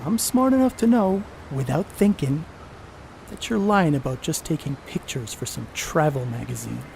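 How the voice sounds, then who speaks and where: A man's voice: on the high side, lively intonation, normal speed, gravelly, a little mellow, a little hiss or hum. A man playing a boastful, crude star ballplayer in a 1930s stage play, cocky and needling in folksy slang. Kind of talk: amateur stage play acting, 1930s period dialogue.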